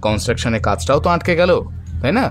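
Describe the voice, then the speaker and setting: A man's voice: medium pitch, lively intonation, quick pace, close to an everyday voice. A man playing a scheming, sarcastic young local fellow in an audio horror story, questioning and scoffing in colloquial speech. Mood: conspiratorial. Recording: faint music behind the voice. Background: faint music.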